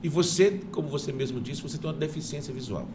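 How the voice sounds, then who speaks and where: A man hosting a podcast interview, asking questions in a warm, friendly way. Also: on the high side, quick pace, background noise. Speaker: podcast host.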